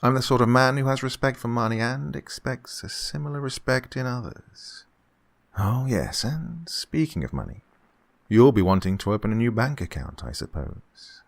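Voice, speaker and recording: A man's voice: mid-range voice, lively intonation, moderate pace, creaky. A man acting a suave, gloating murderous employer in a horror radio play, with oily politeness and fussy, menacing repetition. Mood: brisk, ingratiating. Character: suave, gloating male employer who murders his housekeeper.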